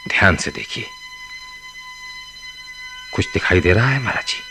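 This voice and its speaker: A man acting in a radio play as an intense, authoritative senior psychiatrist, speaking deliberately with probing, dramatic pauses. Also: medium pitch, huge pitch swings, sharp tone, soft background music, exaggerated voice. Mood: probing, commanding. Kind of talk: radio play acting, Hindi mixed with English.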